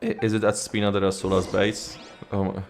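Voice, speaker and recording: A man's voice: fairly low pitch, completely flat delivery, normal speed, creaky. A man streaming a game playthrough with casual, reactive, informal and sweary commentary. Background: game music.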